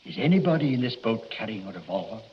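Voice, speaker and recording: An actor playing a polite but suspicious doctor in 1940s radio drama, formal and insistent, on a distant, muffled, noisy recording. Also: male voice, fairly low pitch, sing-song, fast talker.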